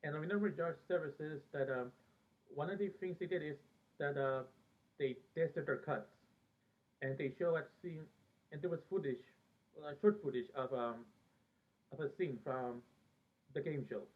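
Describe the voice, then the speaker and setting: A man from Peru who later lived in America, talking casually and reminiscing in a very hesitant, halting way with frequent pauses mid-sentence. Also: on the high side. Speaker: fan vlogger. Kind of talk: casual rambling reminiscence about a TV show. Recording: thin phone-like band-limited sound.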